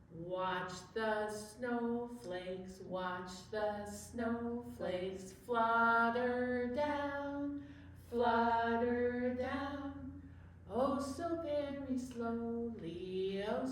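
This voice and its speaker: A woman's voice: fairly high pitch, very slow. A woman hosting an interactive children's storytime, encouraging and full of questions for her young listeners. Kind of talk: interactive storytime talk with slow sung finger play. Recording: very echoey room, distant mic, faint noise.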